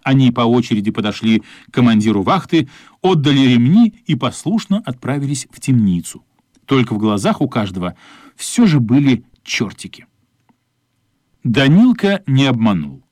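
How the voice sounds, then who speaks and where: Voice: mid-range voice, sing-song, normal speed, a little mellow, no room sound, clean studio sound. A narrator telling a story in scripted prose for a radio play.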